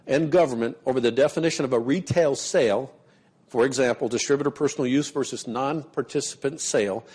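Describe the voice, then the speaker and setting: A man with a mid-range voice hosts a seminar and reads aloud in a steady, measured way. He then introduces the next speaker. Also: medium pitch, slightly rough. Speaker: seminar host.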